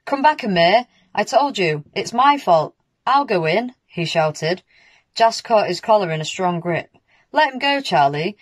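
A woman's voice: fairly low pitch, no room sound. A young woman reading a children's story aloud. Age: young adult. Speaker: story reader. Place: indoors in a home room.

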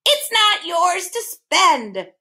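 Woman acting out a shrill, cackling, nasty old bird. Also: sing-song, moderate pace, bright, forceful tone, full character voice. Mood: menacing. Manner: cackling, shrieking, twisting others' words. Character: filthy malicious haughty male talking bird.

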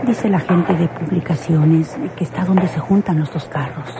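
A young Mexican señorita in an old radio serial. Her voice sits a little low, polite with an anxious edge. The old recording is clearly noisy. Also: moderate pace. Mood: puzzled, musing. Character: young woman train passenger, polite but anxious. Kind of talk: Mexican radio soap opera acting.

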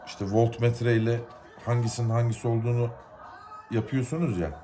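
A man's voice: medium pitch, little pitch movement, a little fast, background noise. A man from Turkey gives an informal, unscripted how-to, explaining step by step in plain, colloquial words. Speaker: hobbyist giving a DIY repair tutorial.

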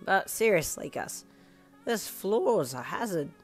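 A woman's voice: mid-range voice, big pitch swings, slow pace, soft background music. A woman doing a slurring, drunk old dad. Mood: happy drunk. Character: drunk, blunt, cheerful old father.

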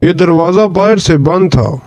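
Man playing an earnest, higher-voiced villager. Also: expressive, a little slow, background noise. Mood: distressed. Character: kind, wary, trusting ordinary man in a fable. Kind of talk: children's fable dialogue.

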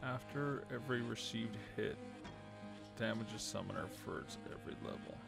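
A man's voice: fairly low pitch, moderate pace, gravelly. A man in his thirties doing casual game commentary, muttering as he thinks aloud and reads on-screen text. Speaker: game streamer. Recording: game music behind the voice. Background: video game music.

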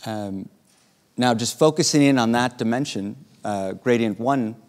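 A man giving a keynote talk at an academic conference, explaining slides in a measured way with frequent filled pauses. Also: mid-range voice, darkish tone. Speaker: keynote speaker. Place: large lecture hall. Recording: reverberant lecture hall sound.

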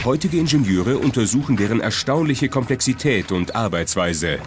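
A man's voice: mid-range voice, animated, normal speed. A man reading scripted documentary narration. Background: music under the voice.

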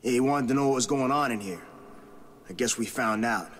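A man voices a jittery police officer from a video game, fumbling for words and relieved to be found. The voice is mid-pitched over a faint background hiss. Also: brisk, a bit bright, close to an everyday voice. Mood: nervous. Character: nervous trapped police officer.

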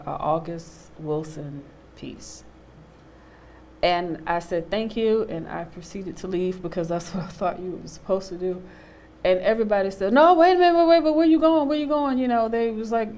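A woman in her thirties on a studio panel, telling a personal story with animated quoted voices. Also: on the low side, slight background noise. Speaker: panel guest, actor. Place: studio stage with a small audience.

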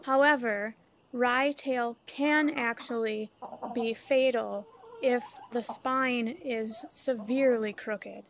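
A woman speaks in a slightly high, unhurried voice, taking her time over each point. She hosts her own channel and explains poultry care gently to viewers. A faint hiss and some hen clucking sit under the voice-over. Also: fairly even intonation. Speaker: backyard chicken keeper and channel host. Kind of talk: informal explainer over phone footage.

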